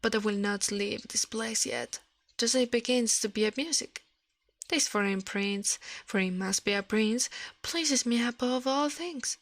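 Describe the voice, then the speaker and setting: A woman reading a fairy tale aloud in a soft ASMR style, careful and a little halting, close to the microphone. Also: fairly high pitch, no echo.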